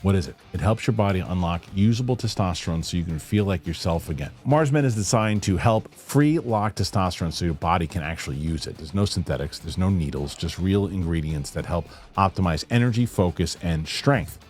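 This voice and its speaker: A man's voice: low voice, fairly quick, hardly any pauses, a little mellow, faint music behind. A man hosting a news show, speaking in a conversational, loosely rambling commentary style. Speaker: host of a UFO news show. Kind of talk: casual news commentary with host-read ad.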